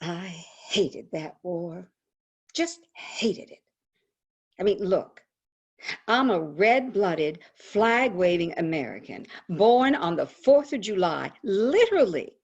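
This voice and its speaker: Actress as a frank, slow-speaking, animated woman columnist. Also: lowish voice, husky, highs cut off, heavily acted. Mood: indignant, mournful. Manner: dramatic, confiding, deliberate pauses. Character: celebrated woman advice columnist, frank, patriotic, wryly sorrowful. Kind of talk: theatrical monologue, staged reading. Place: indoors, remote video call. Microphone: video call microphone.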